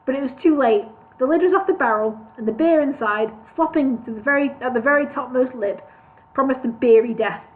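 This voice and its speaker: A woman's voice: sits high, fairly quick. A woman reading a comic fantasy novel aloud on a livestream, adding chatty asides. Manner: reads with asides, laughs at comments. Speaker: audiobook reader. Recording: tinny phone-like sound.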